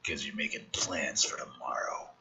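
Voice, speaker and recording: A man voicing a battered, defiant soldier from a film script in a husky, breathy near-whisper, slow and menacing. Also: very deep voice, pushed and bright, voice pushed down, exaggerated voice. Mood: quiet menace. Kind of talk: dramatic reading of a film script.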